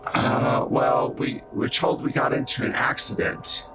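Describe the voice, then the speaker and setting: A man voicing eerie identical triplet brothers who talk as one, in a flat, hesitant way, for a tabletop game. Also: a little slow, very noisy, lightly acted. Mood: eerie calm.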